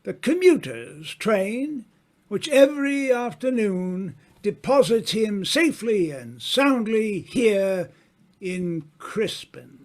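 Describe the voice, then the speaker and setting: A man playing a suave, knowing mystery writer in an old-time radio drama, speaking deliberately with dramatic pauses. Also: high voice, big pitch swings, darkish tone, a little hiss or hum, heavily acted. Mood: smug, genial. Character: suave, theatrical male mystery writer, a knowing dinner guest.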